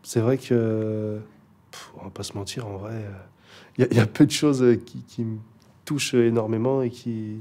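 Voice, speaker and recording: A man's voice: fairly low pitch, fairly even intonation, moderate pace, mellow. A young man, a rapper, chatting casually in an interview, laid-back and hesitant, with many fillers and restarts. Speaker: rapper guest.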